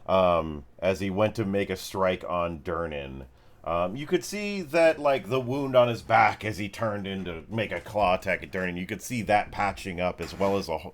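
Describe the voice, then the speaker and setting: Male game master, lower register, casual and slangy, improvising vivid fantasy combat narration.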